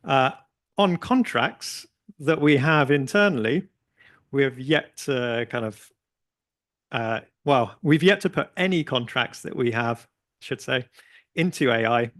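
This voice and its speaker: A man speaking as a panelist at an industry forum, relaxed and hesitant with many fillers. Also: mid-range voice, measured pace. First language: English. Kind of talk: conversational panel discussion.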